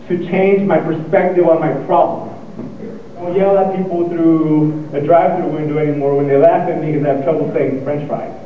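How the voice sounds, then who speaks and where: A man's voice: on the high side, a little hiss or hum. Young man who stutters, echoey hall. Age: young adult. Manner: stuttering, pausing and repeating words. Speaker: student speaker. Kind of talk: motivational speech. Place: lecture hall. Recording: echoey hall, tinny and far off.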